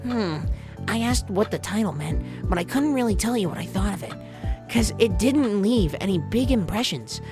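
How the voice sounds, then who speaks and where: A woman voicing a gentle musing mother. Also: medium pitch, expressive, normal speed, pitched above the performer's own voice, natural voice. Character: gentle mother recalling a film, unsure and reflective. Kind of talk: reading manga aloud with voices. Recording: background game music under voice.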